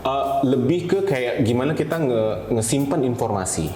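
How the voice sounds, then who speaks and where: A man's voice: medium pitch, expressive, moderate pace, warm tone, a little hiss or hum. A young man in a casual interview, explaining things informally and mixing in English terms. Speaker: guest, data scientist. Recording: roomy echo, crowd murmur behind.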